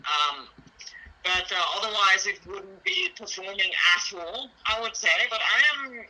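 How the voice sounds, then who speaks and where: A young woman with a relatively deep voice, chatting casually and hesitantly with lots of fillers. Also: very animated, a little slow, sharp tone, poor audio. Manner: hesitant, many fillers and restarts. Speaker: project creator. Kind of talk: casual chat.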